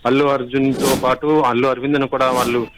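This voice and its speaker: Man, field reporter, rapid speech, medium pitch, halting repetitive live delivery. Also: little pitch movement, noisy background. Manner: halting, repetitive, restarts words. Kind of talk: live unscripted news report.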